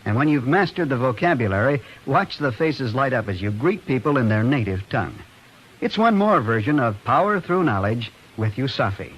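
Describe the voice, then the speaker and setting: A man's voice: mid-range voice, big pitch swings, normal speed. A man announcing a scripted public-service message in old-time radio style. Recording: noisy vintage radio recording.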